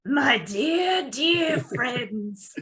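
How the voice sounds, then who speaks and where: Old hobbit played by a woman: bright, very slow, theatrical and halting speechmaker for a fantasy table read. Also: sits high, animated, exaggerated voice. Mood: fond, ceremonious. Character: old male hobbit giving a birthday speech.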